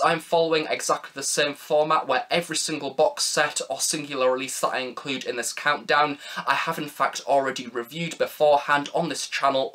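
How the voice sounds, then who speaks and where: A man's voice: medium pitch, fairly bright tone. A man hosting a review video, presenting a scripted countdown with wordy, formal phrasing. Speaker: review channel host.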